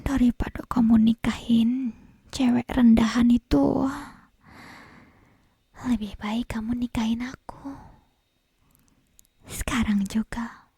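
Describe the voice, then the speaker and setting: Woman acting a breathy, whispering yandere girl. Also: on the high side, fairly even intonation, no echo, clean studio sound, exaggerated voice. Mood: coaxing, jealous. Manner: slow, intimate, drawn-out phrasing with soft giggles. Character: obsessive possessive yandere young woman, sweetly menacing kidnapper. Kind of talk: ASMR roleplay. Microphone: close binaural ASMR microphone.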